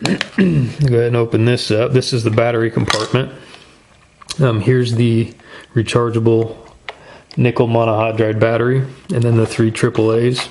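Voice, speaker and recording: A man's voice: mid-range voice, flat delivery, normal speed, pauses often, darkish tone, a little hiss or hum. A man hosting a casual product review in an informal, folksy, plain-spoken way. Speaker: gear review channel host.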